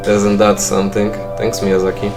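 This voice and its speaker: A man's voice: fairly low pitch, monotone, moderate pace, over music. A young man with a Polish accent, a non-native English speaker, giving dry, self-mocking gameplay commentary and thinking aloud with fillers. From Poland. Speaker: let's play gamer.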